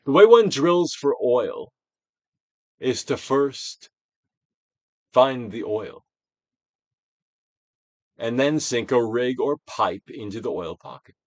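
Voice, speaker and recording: A man giving a dramatic, deliberate reading of a self-help book as a guided exercise, with pauses and big swells. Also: medium pitch, animated, no echo. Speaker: audiobook reader and actor.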